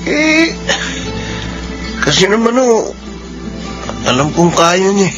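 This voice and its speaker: An actor playing an immature young Filipino husband, emotional and whining, in a radio drama. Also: male voice, high voice, big pitch swings, slow pace, heavily acted, rough recording. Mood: defensive. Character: defensive, irresponsible young husband, pleading and remorseful. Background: prominent dramatic music.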